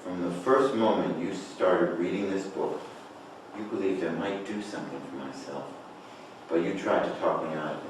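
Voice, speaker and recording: A man's voice: sits low, moderate pace, close to an everyday voice. A man playing a wounded, defiant writer who accuses his friend, in a table read of a stage play. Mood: hurt, challenging. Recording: echoey room, distant mic, faint noise.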